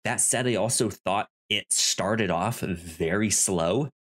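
A man co-hosting a podcast, discussing films casually in a halting, rambling way with easy laughter. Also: lowish voice, fairly bright tone. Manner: rambling, stammering, laughs easily. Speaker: podcast co-host.

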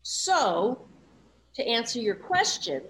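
A woman's voice: on the high side, big pitch swings, slow pace, fairly bright tone, slight background noise, poor audio. A woman voice-over coach speaking as an interview guest, candid and reflective, often adding "you know" as she talks. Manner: earnest, reflective, many "you know" asides.

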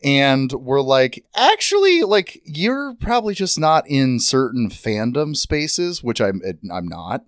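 A man in his twenties talking in an animated, self-mocking way in a casual podcast chat. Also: medium pitch, clear, resonant tone, no room sound, clean studio sound. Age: 20s. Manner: animated, self-mocking, quick to put on voices.

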